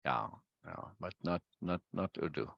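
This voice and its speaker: A man hosting a casual video-call chat, talkative and quick to repeat himself and laugh. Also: sits low, lively intonation, gravelly, dry recording, muffled recording. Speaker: meeting host.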